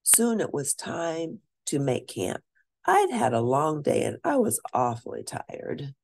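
Female children's book narrator, low mellow register, highly animated and expressive read-aloud delivery. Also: gravelly, dry recording. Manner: animated, deliberate, lingering on key words. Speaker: storyteller reading a children's book.

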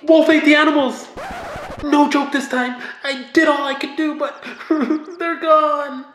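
A woman voicing a mischievous, bored young boy prankster in an animated storybook, with theatrical, exaggerated delivery. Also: sits high, unhurried. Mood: fake panic. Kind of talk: animated storybook role-play. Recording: roomy echo, music behind.

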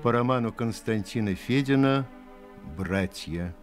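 A male announcer with a medium-pitched voice. He delivers a formal radio announcement slowly, over clear music.

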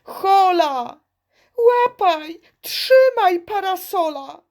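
A woman's voice: extremely high pitch, expressive, drawn-out words, darkish tone, pitched above the performer's own voice, heavily acted. A woman voicing cocky, headstrong little children in a verse storybook, exclaiming defiantly. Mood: alarmed shouting.

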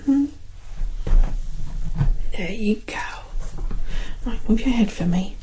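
A British woman doing an ASMR roleplay as a soothing, affectionate mother, reassuring in soft close-up speech. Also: mid-range voice, lively intonation, takes it slowly, background noise. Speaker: ASMR performer playing a mother. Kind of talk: ASMR roleplay, whispered and soft-spoken personal attention.

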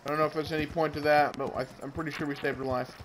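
A man's voice: on the high side, steady tone, racing. A man giving casual let's-play commentary, talking to the game's characters and swearing mildly as he plays. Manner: mild swearing, talks to game characters. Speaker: gamer narrating his playthrough. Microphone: Bluetooth headset. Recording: noisy, grainy Bluetooth headset audio with game sounds. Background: game audio with horse hooves.

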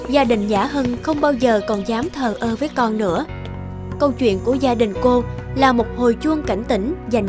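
A woman giving scripted storytelling narration for animated tales. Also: on the high side.

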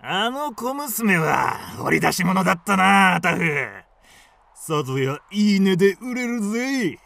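An actor playing a crude, sneering bandit henchman in a radio drama, with rough, mocking speech. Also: high-pitched, big pitch swings, a little slow, bright, forceful tone, no room sound, full character voice. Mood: sly. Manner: rough, snickering, coarse speech.

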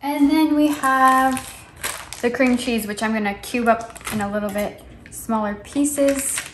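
A woman's voice: a little high, steady tone, a little slow, noisy background. A young woman in her twenties casually explaining each step while she cooks in her home kitchen, filmed by a camera a few feet away. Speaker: cooking vlogger. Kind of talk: casual how-to narration. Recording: echoey kitchen with music behind.

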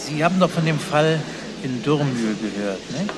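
Male detective played by a male actor, slightly high voice, courteous, persistent, crime radio play, noisy street ambience. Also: lively intonation, normal speed, natural voice. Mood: polite, probing. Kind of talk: German crime radio drama acting. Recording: noisy outdoor ambience behind dialogue.

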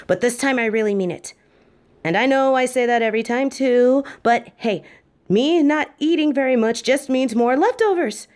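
A woman voicing a sweet, playful demon girlfriend who purrs, talking softly and affectionately in an audio roleplay. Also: a little high, natural voice. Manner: soft, affectionate, drowsy.

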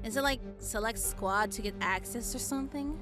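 A woman's voice: on the high side, a little fast, lightly acted. A woman voicing a snide, impatient killer robot in a comic dub, brusque and sarcastic. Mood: impatient, dismissive. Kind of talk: fan comic dub acting. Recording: music behind the voice.

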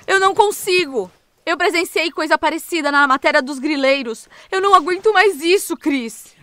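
Audio drama: actress as emotional young female journalist, frightened and defiant, high bright voice. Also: normal speed, no echo. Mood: panicked, distraught. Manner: emotional, pleading, swearing.

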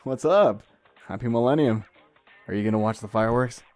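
A man's voice: mid-range voice, a little fast, darkish tone, no room sound, soft background music, close to an everyday voice. A man playing a young small-town video store clerk, a laid-back loner, in a visual novel. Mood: casual, low-key. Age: young adult.

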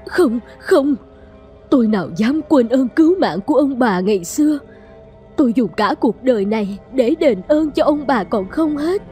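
A woman's voice: high-pitched, expressive, normal speed, warm tone, soft background music. A woman voicing a humble, troubled middle-aged servant mother in a cartoon fairy tale, earnest and pleading. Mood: anxious pleading.

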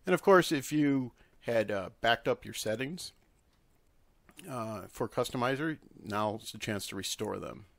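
Male tutorial presenter, gravelly, halting and unscripted, in a dry close recording. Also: mid-range voice, lively intonation, dry recording.

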